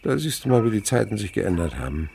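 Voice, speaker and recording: A kindly older naturalist in a radio play. The voice is low and gravelly and swings very widely in pitch as it muses and tells stories gently. Crickets chirp faintly behind it. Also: male voice, sing-song, quick pace. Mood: musing. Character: kindly older French naturalist, widower, indulgent with a boy. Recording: faint background noise, night insects chirping. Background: crickets and animal sounds.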